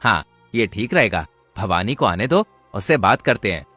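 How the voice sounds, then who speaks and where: A greedy, gullible village crook, put on by a male narrator, scheming in lively cartoon dialogue. His intonation moves around a lot, with music behind him. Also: fairly low pitch, moderate pace, dry recording, voice pushed down. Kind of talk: Hindi cartoon moral-story dialogue.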